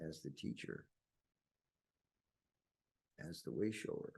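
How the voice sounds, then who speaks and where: A man leading a guided meditation in slow, deliberate phrases with long pauses and a husky, gravelly voice. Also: a little mellow.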